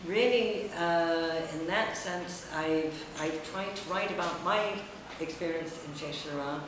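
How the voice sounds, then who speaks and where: Middle-aged female writer at a public event, pausing often, heavy echo and clear background noise. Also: lowish voice. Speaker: writer and editor presenting own essay. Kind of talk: public talk, semi-improvised. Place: echoey indoor room at a public event. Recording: very echoey distant room recording, noisy.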